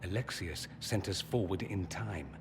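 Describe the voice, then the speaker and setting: A man's voice: low voice, unhurried. A man playing an urbane, sardonic mage in a fantasy game, wry and articulate. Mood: earnest. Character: urbane, sardonic male mage, quick-witted and theatrical. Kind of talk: dramatic fantasy game dialogue. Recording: reverberant hall, noisy game ambience.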